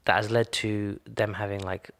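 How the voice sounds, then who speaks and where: A man's voice: on the low side, little pitch movement, moderate pace, a bit bright. A man in his thirties thinking aloud in a casual conversation, restarting sentences as he works through his ideas. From Britain. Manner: reflective, thinks aloud with many restarts and fillers. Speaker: podcast co-host.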